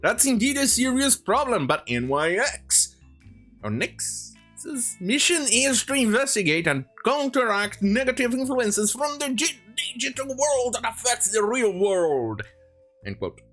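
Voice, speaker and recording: Sarcastic commentator with wildly swinging pitch. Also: big pitch swings, fairly bright tone, soft background music. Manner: sarcastic, halting, sweary. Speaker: online commentator. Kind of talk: opinionated rant commentary, reading quotes aloud.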